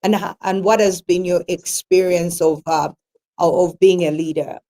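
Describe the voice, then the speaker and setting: A woman hosting a radio program, asking questions with many pauses and fillers. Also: lowish voice, fairly even intonation, warm tone. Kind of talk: interview hosting.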